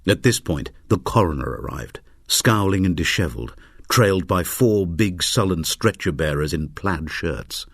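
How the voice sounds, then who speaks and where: Expressive low-voiced male story narrator. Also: normal speed, creaky, no room sound, clean studio sound. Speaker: audiobook narrator. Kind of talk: dramatic scripted story narration.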